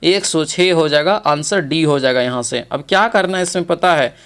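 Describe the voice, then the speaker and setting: Man with a smooth, clear voice. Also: fairly high pitch, normal speed, fairly bright tone. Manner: reads each question, then repeats answers with "ठीक है". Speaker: exam coaching teacher. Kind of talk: exam practice lesson.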